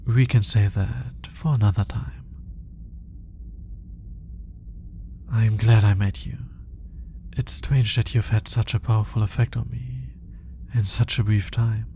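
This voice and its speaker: A man playing an earnest, smitten alien scientist in an intimate roleplay audio drama, soft-spoken and hesitant, slipping into whispers. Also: fairly low pitch, steady tone, moderate pace, slight background noise, natural voice. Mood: awed, tender.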